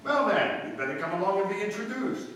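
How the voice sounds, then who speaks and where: A man's voice: high voice, very animated, quick pace, fairly bright tone. A man playing a charming, sardonic ex-husband in a live old-time radio play. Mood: wry. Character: breezy, charming, sardonic ex-husband of a society bride. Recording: echoey room, distant mic, faint noise.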